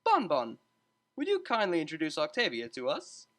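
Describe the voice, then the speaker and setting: Woman putting on a breezy, animated male pony tutor. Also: sits low, moderate pace, heavily acted. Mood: approving. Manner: upbeat, chatty, coaxing. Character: cheerful, meddling male pony psychology tutor, unflappable.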